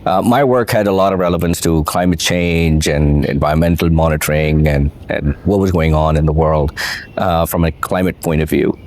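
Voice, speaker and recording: A middle-aged man with a Pakistani accent and a very low voice talks through his story as an interview guest. He is reflective and unhurried, and he drops in the odd Urdu phrase. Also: fairly even intonation, darkish tone. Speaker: guest, venture capitalist. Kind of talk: relaxed interview conversation.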